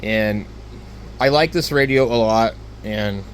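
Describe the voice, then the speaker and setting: A man giving an unscripted, casual gear review in a rambling, halting, plainspoken way. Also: lowish voice, slight background noise. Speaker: hobbyist product reviewer.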